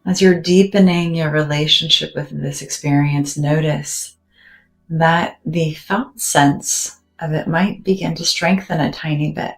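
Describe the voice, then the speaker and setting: Low, slow, soothing woman guiding meditation. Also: steady tone, soft background music, poor audio. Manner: soft, gentle and soothing, with drawn-out pauses. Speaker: meditation guide. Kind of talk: guided meditation.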